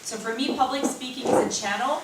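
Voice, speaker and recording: A woman's voice: high-pitched, fast talker. Earnest female speaker taking audience questions; recorded from afar in a strongly echoing room. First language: not German; English not stated as first. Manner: earnest, personal, answers at length with restarts. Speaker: speaker at a lightning talk event. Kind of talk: live talk with audience Q&A. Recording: very echoey room, distant mic, faint noise.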